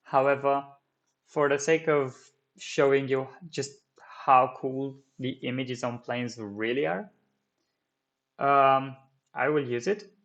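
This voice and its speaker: A man's voice: mid-range voice, slow, fairly bright tone. A man whose first language is Romanian, walking viewers through a 3D modelling tutorial at his desk, with many fillers and restarts. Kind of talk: screen-recorded software tutorial.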